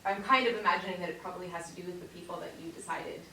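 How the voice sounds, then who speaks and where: A woman's voice: on the low side, fairly even intonation, racing, bright, forceful tone. A woman in the audience asking a long, hesitant question full of fillers. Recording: distant voice in an echoey hall with hiss.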